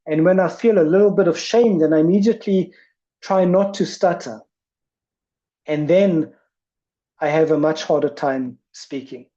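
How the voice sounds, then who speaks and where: A woman's voice: sits low, moderate pace, pauses often, mellow. A woman presenter who stutters, talking reflectively about her speech on a video call. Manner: stutters with repetitions and blocks, thoughtful. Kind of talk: reflective talk. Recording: muffled video call audio.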